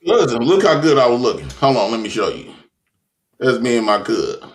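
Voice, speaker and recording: A man's voice: mid-range voice, sing-song, brisk, roomy sound. A man bragging about himself in a casual group chat, laughing often and telling stories animatedly. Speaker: panel guest.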